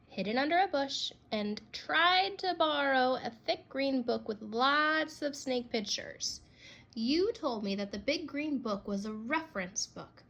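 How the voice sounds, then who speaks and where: Woman reading as a grudgingly apologetic child, fairly high voice. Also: a bit bright, heavily acted. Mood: earnest, self-justifying. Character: child writing a grudging, earnest apology letter. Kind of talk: storybook read-aloud.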